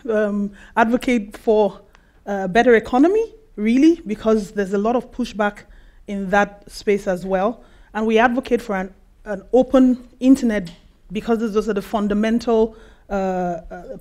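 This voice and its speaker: A woman's voice: medium pitch, darkish tone. A woman from Cameroon with a Cameroonian accent, speaking semi-formally as an activist panelist. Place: seated on stage in a conference room.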